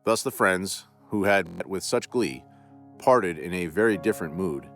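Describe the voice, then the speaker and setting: A man hosting a podcast and narrating a classic ghost story in a measured, theatrical way. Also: low voice, animated, soft background music.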